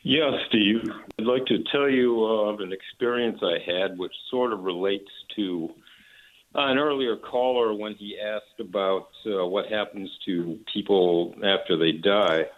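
A man calls in to a radio show over a tinny phone line, his voice on the low side. He tells his story earnestly, pausing often with fillers. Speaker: caller. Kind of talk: phone-in caller telling a story.

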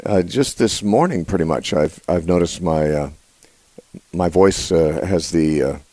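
A man hosting a live call-in Bible radio show, congested, talking haltingly with many fillers and restarts. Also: warm tone, no echo. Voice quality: usually a high nasal voice. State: congested, voice lower than usual.

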